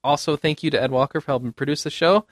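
A man's voice: fairly high pitch, steady tone, fast talker, no room sound, studio quality. A man hosting a casual panel podcast about gaming news, speaking in a conversational way and given to chuckling. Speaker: podcast host.

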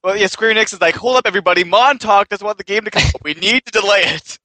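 A man's voice: sits high, fast talker, pushed and bright, no room sound, soft background music, rough recording. A man joking casually as a panelist on a podcast.

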